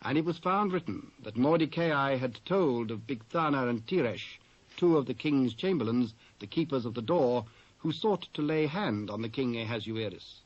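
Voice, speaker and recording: A British man narrates scripture in a formal, measured way. His medium-pitched voice is very dry, on a slightly dull old cassette. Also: animated, no room sound.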